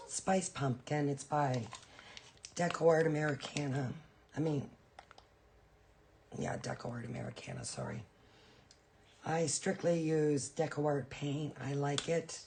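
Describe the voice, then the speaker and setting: A woman with a raspy, hoarse voice from a cold, hosting a casual live painting tutorial and chatting with viewers. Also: low-pitched, darkish tone, a little hiss or hum. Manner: chatty, greets viewers by name.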